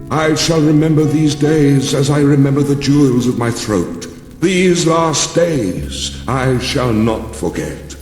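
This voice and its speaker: A proud goddess of ancient myth, played by a man, declaims in a raised, swinging pitch. The delivery is slow, solemn and wrathful, like an epic audiobook, with music underneath. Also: darkish tone, roomy sound, long reverb tail.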